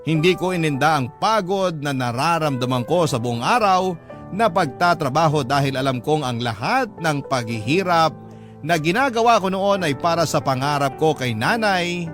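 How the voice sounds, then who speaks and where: A man's voice: fairly high pitch, animated, moderate pace, a bit bright. A man with a Filipino accent reading a first-person letter as dramatic, sentimental scripted narration. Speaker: radio storyteller reading a letter. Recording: music playing behind the narration.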